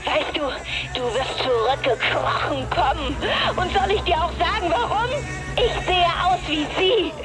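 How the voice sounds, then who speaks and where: An actress playing a bitter young scorned lover who taunts in a crime radio drama. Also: female voice, very high-pitched, a little slow, low-quality recording. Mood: bitter, taunting. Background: loud music.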